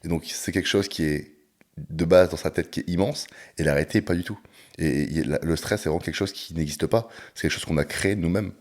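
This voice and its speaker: A man hosting a casual podcast chat, talking in a relaxed way with frequent hesitations. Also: deep voice, fairly quick. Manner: relaxed, talks with many hesitations. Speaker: podcast host and coach.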